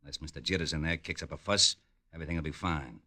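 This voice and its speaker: An actor playing a smug, menacing crook with a gun, coolly threatening in an old-time radio crime drama. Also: male voice, a bass voice, fast, pushed and bright, full character voice. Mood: cool menace. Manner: cool, threatening, tough slang. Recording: vintage radio drama recording.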